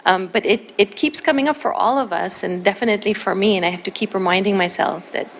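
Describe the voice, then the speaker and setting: A woman speaking on a panel in an earnest, measured way. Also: medium pitch, creaky, a little hiss or hum. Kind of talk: panel discussion.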